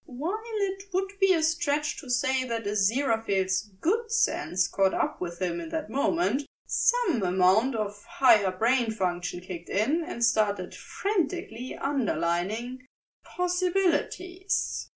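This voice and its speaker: A woman narrates fiction in a slow, expressive audiobook style. Her voice is on the high side and moves widely in pitch. She sounds a little far from the microphone. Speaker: amateur audiobook reader. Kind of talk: expressive scripted story narration.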